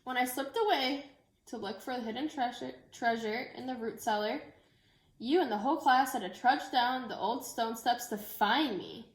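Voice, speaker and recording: Higher-voiced woman reading aloud in an echoey room. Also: moderate pace. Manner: addresses children directly and informally. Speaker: elementary school teacher. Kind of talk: picture book read-aloud with chatty asides to children.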